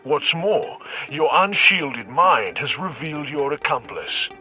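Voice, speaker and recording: A man's voice: fairly high pitch, expressive, slow pace, pushed and bright, faint music behind, full character voice. A man voicing a proud, sinister Sith lord in a video game, imperious and grandiose with a menacing manner. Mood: sinister, scornful.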